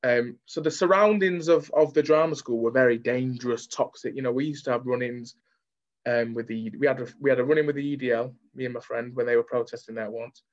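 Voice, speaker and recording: Casting: young Mancunian male, candid interview guest, conversational delivery, mid-pitched voice on muffled lo-fi audio. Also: steady tone, a little fast. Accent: Manchester. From Manchester, England.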